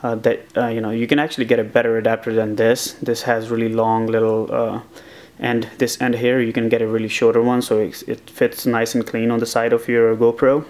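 A man's voice: medium pitch, a little fast. A young man casually showing his helmet gear in a home office, rambling with lots of uh and um fillers. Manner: relaxed, rambling with many uh and um fillers. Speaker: motovlogger. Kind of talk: casual vlog, gear walkthrough. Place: small home office, indoors.

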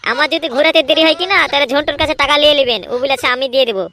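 Woman doing a high, cocky cartoon village lad. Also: racing, a bit bright, slight background noise. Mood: wheedling. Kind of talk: Bengali comedy cartoon voice acting.

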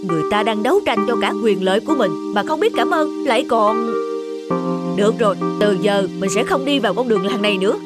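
A man's voice: high-pitched, fast talker, natural voice. An actor voicing a stingy, hot-tempered old village man in a cartoon, grumbling and indignant. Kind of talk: animated moral tale voice acting. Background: background music.

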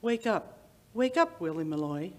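A woman's voice: fairly low pitch, very animated, measured pace, over loud music. An older woman playing a sinister witch who coaxes and tempts theatrically in a radio drama. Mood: coaxing, eerie. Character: beckoning, eerie witch risen from the grave, tempting. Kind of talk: old-time radio drama acting. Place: small indoor stage, live performance. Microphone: vintage-style stand microphone.

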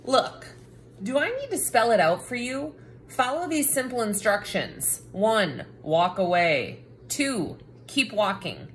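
A woman's voice: on the high side, very animated, slow pace, slight background noise. A woman voicing a cranky, bossy talking picture book, full of dramatic exasperation, in a children's read-aloud. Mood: cranky, exasperated.

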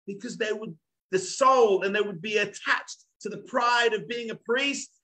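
A man in his forties, a rabbi, teaches an online class over a video call. His talk is animated and emphatic, with a fairly high, bright and forceful voice. The sound comes through a webcam in a home study. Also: rough recording. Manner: animated, emphatic, gesturing a lot. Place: home study with bookshelves, indoors.

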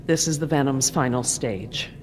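A woman's voice: extremely low pitch, moderate pace. An actress voicing an analytical middle-aged woman scientist with a clinical, earnest manner in a video game. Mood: clinical, concerned. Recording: loud dramatic music behind voice.

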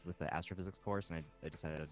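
A man chatting casually while gaming, with halting, hesitant phrasing. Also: low-pitched, steady tone. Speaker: player in an online game session. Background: music.

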